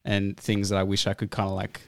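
An Australian man hosting a podcast, talking in a relaxed, thoughtful way with plenty of fillers. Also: fairly low pitch, a little fast, no room sound. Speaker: podcast host. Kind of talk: casual interview chat.

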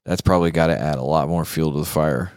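A man's voice: extremely low pitch, quick pace, darkish tone, clean tone, dry recording. A man hosting a casual guitar talk show, chatting in an informal, emphatic, slangy way. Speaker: show host.

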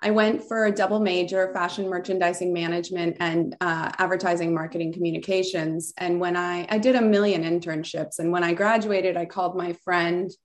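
Woman, lowish voice, chatty, rambling, interview guest. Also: fairly even intonation, a little fast, few pauses. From Southern California. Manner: chatty, rambling, frequent fillers and restarts. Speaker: beauty store founder, guest. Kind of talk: casual interview storytelling.

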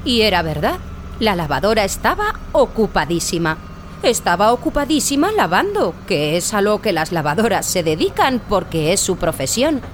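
A woman's voice: mid-range voice, very animated, moderate pace, slight background noise. A woman narrating a children's story in a playful, emphatic scripted style.

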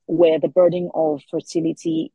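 A woman's voice: low-pitched, flat delivery, moderate pace, dark tone, husky, no echo, low-quality recording. A Nigerian woman in her forties, an author answering interview questions thoughtfully, often repeating words as she searches for them. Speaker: author guest.

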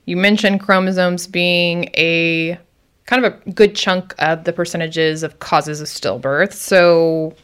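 A woman's voice: fairly low pitch, steady tone, slow, a bit bright, clear, resonant tone. A woman co-hosting a conversational discussion, recapping what was said and asking questions to check it. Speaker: podcast co-host asking questions.

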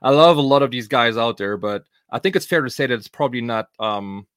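German-accented man, mid pitch, very dry sound. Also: brisk, fairly bright tone, clear, resonant tone, no room sound. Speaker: podcast co-host. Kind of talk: casual conversational podcast chat.

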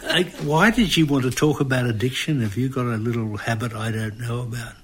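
A man's voice: mid-range voice, fairly quick, a bit bright, a little hiss or hum. A man with an Australian accent hosting a podcast, chatting casually in a joking, teasing way. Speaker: podcast host. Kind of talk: casual comic chat.

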